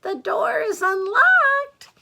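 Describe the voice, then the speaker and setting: A woman voicing a wicked male wolf who poses as a sweet old granny in a storybook, in an exaggeratedly sweet falsetto. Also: sing-song, takes it slowly, bright, forceful tone, full character voice. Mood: sugary. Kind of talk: storybook character voice.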